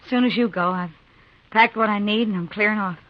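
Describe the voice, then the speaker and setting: A woman's voice: medium pitch, fairly quick, natural voice. An actress playing a bitter, self-reliant young American frontier woman in a 1950s radio western, curt and guarded. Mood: hurt, resolute. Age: young adult. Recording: dull, dry 1950s radio recording.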